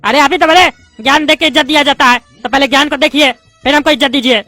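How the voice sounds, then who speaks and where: A voice actor doing a squeaky, touchy bald politician. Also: sharp tone, faint music behind. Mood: indignant. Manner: indignant, rapid-fire arguing and lecturing. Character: bald loudmouth male politician student, touchy and argumentative. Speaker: adult student in a class.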